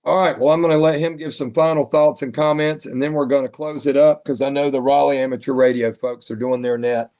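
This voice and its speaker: A man's voice: mid-range voice, fairly even intonation, fairly quick, hardly any pauses, a little mellow. A man chatting casually as the host of a radio net. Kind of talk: casual chat. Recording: dull band-limited ham radio audio.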